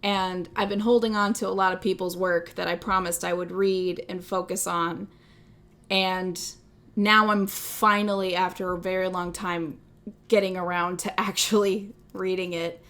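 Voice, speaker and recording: A woman's voice: fairly low pitch, little pitch movement, a little slow, fairly bright tone. A young woman with a Midwestern accent, chatting casually on a livestream, rambling and self-deprecating, laughing often. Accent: Midwestern American. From American Midwest. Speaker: streamer.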